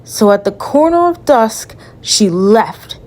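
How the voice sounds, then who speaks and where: A woman's voice: medium pitch, sing-song, slow, a little mellow, slight background noise. A woman narrating a fairy tale in a casual, chatty way with playful asides. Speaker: storyteller.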